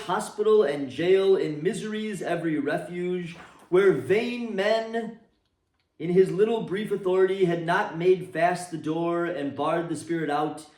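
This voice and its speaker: A man giving an expressive, dramatic storybook reading of a classic tale for a library, recorded in a roomy space from a distance. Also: fairly high pitch. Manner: expressive, swooping phrasing. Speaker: reader for a library.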